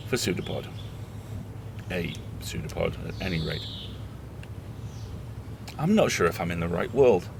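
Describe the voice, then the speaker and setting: A man playing a weary but defiant radio host in an audio horror drama, speaking gravely and deliberately. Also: deep voice, lively intonation, slight background noise, exaggerated voice. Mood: weary, reflective.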